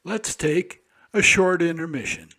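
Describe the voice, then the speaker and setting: A man with a somewhat high voice hosts a classic radio program. His announcing is smooth and even. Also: unhurried. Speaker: program host.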